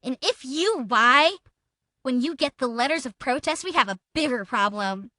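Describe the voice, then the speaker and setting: A woman's voice: sits high, animated, moderate pace, sharp tone, dry recording, clean studio sound. A woman playing a belligerent, self-important woman in a feud, taunting and accusatory, as a theatrical dramatic reading. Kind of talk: dramatic reading of a text exchange.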